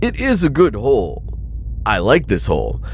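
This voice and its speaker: A man's voice: on the low side, wildly swooping pitch, moderate pace, vocal fry, slight background noise, heavily acted. A man playing an anxious, pleading insectoid alien bounty hunter in a sci-fi audio roleplay, flustered, hesitant and formally wordy. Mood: nervous, urgent. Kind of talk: scripted audio roleplay addressed to listener.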